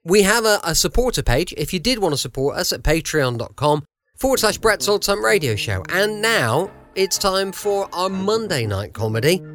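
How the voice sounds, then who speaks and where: A man's voice: high-pitched, big pitch swings, moderate pace, fairly bright tone. An English man hosting a podcast, giving a chatty introduction. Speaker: podcast host.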